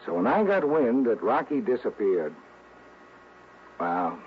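A man's voice: medium pitch, sing-song, unhurried. An actor playing a genial, shrewd American insurance man who was once an investigator, folksy and explanatory, in a 1960s radio drama. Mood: confiding. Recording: tinny, hissy old radio recording.

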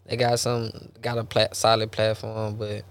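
A man's voice: fairly low pitch, completely flat delivery, normal speed. A young adult man, a gospel rapper talking loosely in a casual interview, rambling and dropping bro and man often.

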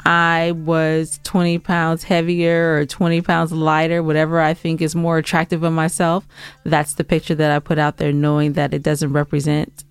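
A woman's voice: sits low, completely flat delivery, normal speed, clear, resonant tone, no echo. A woman co-hosting a podcast in casual, playful, teasing chat. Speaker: podcast co-host.

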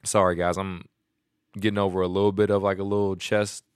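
A man hosting a casual solo podcast, excited and rambling, a little nasal and sniffly from a cold. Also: deep voice, a little fast, clear, resonant tone, dry recording, clean studio sound. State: congested from a stomach bug. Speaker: podcast host.

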